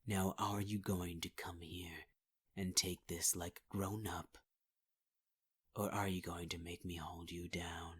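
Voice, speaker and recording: Male lead, deep husky voice, slow drawl, cruel taunting villain tone for ASMR roleplay. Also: flat delivery. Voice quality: husky, half-whispered delivery. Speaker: voice actor in audio roleplay.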